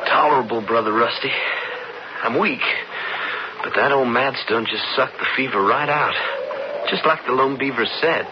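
An actor playing a weak, wounded young cowboy in a radio drama western. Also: male voice, mid-range voice, moderate pace, pauses often, natural voice. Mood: weak, recovering. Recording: noisy muffled old radio broadcast.

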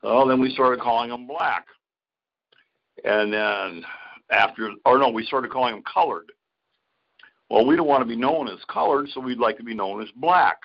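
A man co-hosts a casual podcast in a creaky, gravelly voice of middling pitch. He talks crudely and swears heavily. The recording is dull and lo-fi. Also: normal speed, pauses often. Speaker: podcast co-host. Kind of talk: casual chat. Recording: dull lo-fi audio.

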